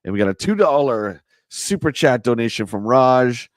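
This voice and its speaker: A man with a hoarse voice hosting a casual livestream, chatting and answering viewer comments. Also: medium pitch, lively intonation, normal speed, no room sound. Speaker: livestream host. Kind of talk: casual live chat reading a magazine.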